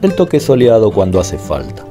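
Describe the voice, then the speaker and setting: A man reading a calm, scripted voice-over narration for a travel video. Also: on the low side, normal speed, over music.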